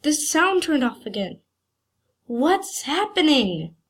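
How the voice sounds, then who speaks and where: A woman's voice: sits high, very animated, takes it slowly. A woman with a cold gives rambling, hesitant commentary while playing a computer game, with faint game music behind her. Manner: hesitant and rambling, many fillers. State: has a cold. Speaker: gaming channel host. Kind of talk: casual gameplay commentary. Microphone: computer screen recording.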